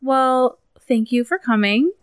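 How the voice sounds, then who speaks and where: Podcast lady, kinda high voice, laughs a lot, dry close sound. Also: slow pace, smooth, no room sound. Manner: laughs often, blunt. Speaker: podcast co-host. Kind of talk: casual chat.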